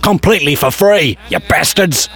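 A furious, foul-mouthed radio station owner, played by a man, harangues his sleepy listeners over faint didgeridoo music. His voice sits fairly high for a man. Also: lively intonation, normal speed, fairly bright tone. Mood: grumbling. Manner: ranting, swearing, berating listeners. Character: angry broke middle-aged male radio station owner. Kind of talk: comedy sketch radio rant.

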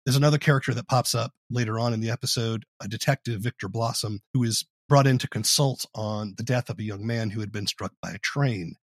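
A man interviewing on a podcast, explaining and commenting in a conversational, measured way. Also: lowish voice, no room sound.

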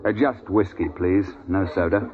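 A man playing a seasoned British safari guide in a vintage radio play, on a narrow-band recording. His voice is low, and he talks with blunt, old-boy assurance. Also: fairly even intonation, moderate pace, close to an everyday voice. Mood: dry amusement. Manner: clipped old-boy idiom, blunt, conversational. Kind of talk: 1950s radio drama acting, storytelling.

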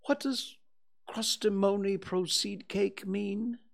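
A storybook reader voicing a humble, slow-witted, dreamy toy bear with a hesitant, wistful manner. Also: medium pitch, steady tone, vocal fry, pitched above the performer's own voice. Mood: puzzled, humble.

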